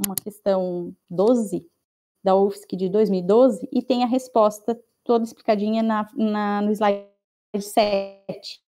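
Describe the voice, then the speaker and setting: A woman's voice: on the high side, mellow. A woman with a Brazilian Portuguese accent teaching an online class, explaining patiently and checking in with her students often.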